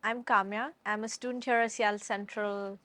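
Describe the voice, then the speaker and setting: A woman answers interview questions matter-of-factly. Her pitch stays level and flat, and her voice is recorded dry and close. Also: moderate pace, no echo. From Mumbai, India. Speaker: student interviewee.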